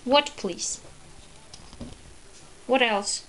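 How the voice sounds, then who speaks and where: Mother reading a picture book to a small child: female, high-pitched, slow, prompting word by word. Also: a little hiss or hum, rough recording. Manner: expressive, instructive, prompting word by word. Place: at a wooden table indoors at home.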